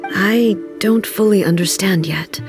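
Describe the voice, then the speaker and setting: An actress voicing a solemn, reserved immortal female ruler in a video game, speaking in a measured, formal, grave way. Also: mid-range voice, natural voice. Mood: grave, reflective. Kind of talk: scripted video game dialogue acting. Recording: music behind.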